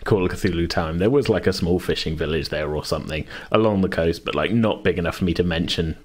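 Man, very low voice, casual podcast host, rambling, self-interrupting. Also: fast talker. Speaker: podcast host and game master. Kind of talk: casual chat.

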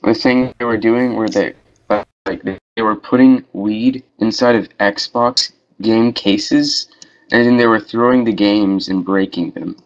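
A man's voice: fairly low pitch, steady tone, measured pace. A young man casually telling a story in a voice chat, easygoing and slangy. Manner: easygoing, slangy, says "dude" and "bro". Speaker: voice chat participant. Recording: muffled lo-fi voice chat mic.

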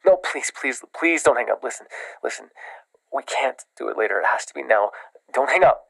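Desperate, evasive young male caller acted by a man, very creaky voice, halting and pleading, dry close sound, audio roleplay. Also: medium pitch, expressive, fairly bright tone, no echo, low-quality recording. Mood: urgent, desperate. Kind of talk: immersive ASMR roleplay voice acting.

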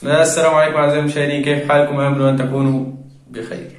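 A young man presenting a scripted explainer to viewers in formal, measured phrasing. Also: fairly high pitch, little pitch movement, loud music behind the voice. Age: young adult. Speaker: video presenter. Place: small tiled room, indoors. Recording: echoey room sound.

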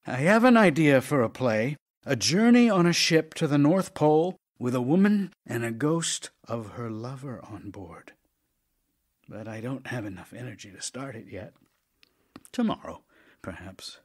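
A wry, ailing writer, slow and expressive. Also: male voice, medium pitch. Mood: weary, melancholy. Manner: theatrical, wry, intimate, shifting from emphatic to hushed. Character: ailing, wry, tender male writer writing love letters. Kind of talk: audio drama acting, reading letters aloud.

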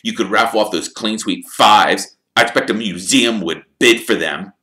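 A man reading an audiobook, voicing a spoiled, cruel teenage boy who talks in a smug, smooth, sneering way. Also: lowish voice, normal speed, bright, forceful tone, pitched above the performer's own voice.

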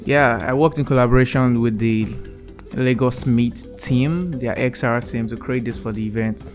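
Young man giving a relaxed VR gallery tour. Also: medium pitch, moderate pace. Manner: relaxed, enthusiastic, repeats "really cool". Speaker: YouTube creator. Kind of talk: casual vlog walkthrough. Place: indoor room, wearing VR headset. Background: soft background music.

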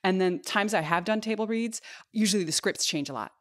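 A woman's voice: medium pitch, a little fast. A middle-aged woman in casual podcast conversation, explaining her points with imagined quotes, close on a microphone at home. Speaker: podcast co-host and actor. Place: home kitchen. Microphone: close podcast microphone, headphones.

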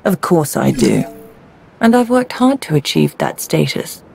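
Creaky-voiced, commanding underworld boss. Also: female voice, on the low side, very animated, darkish tone, slight background noise, exaggerated voice. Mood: cool, proud. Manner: deliberate, enigmatic, self-assured. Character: cool, commanding female underworld smuggling boss.

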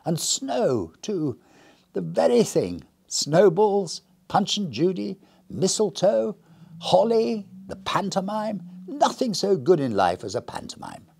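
A man voicing a cheerful, jolly middle-aged gentleman who laughs and exclaims heartily in a storybook reading. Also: high voice, sing-song, takes it slowly, vocal fry, breathy edge, voice pushed up, full character voice. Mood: delighted.

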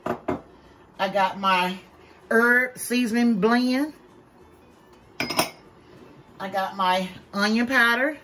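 A woman's voice: a little high, very slow, pauses often, music in the background. Chatty woman cooking at home. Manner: chatty, folksy, talks to viewers as y'all. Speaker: vlogger. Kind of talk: casual cook-with-me vlog. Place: home kitchen, indoors. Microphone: camera held by someone else.

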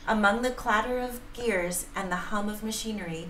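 A middle-aged woman reads a romance story aloud at a careful pace, now and then tripping on a word. The room echoes around her medium-pitched voice, with faint noise behind. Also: moderate pace, sharp tone. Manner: careful reading with stumbles. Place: home room, indoors, at a desk. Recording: roomy sound, faint background noise.

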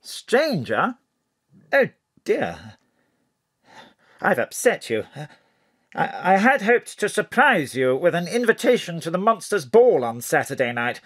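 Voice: medium pitch, sing-song, slow, pauses often, a bit bright, husky, full character voice. An actor playing a courtly, fussy male former diplomat and spymaster, speaking in a genteel, old-fashioned, solicitous way in an audio drama. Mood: apologetic. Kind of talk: audio drama dialogue over a phone call.

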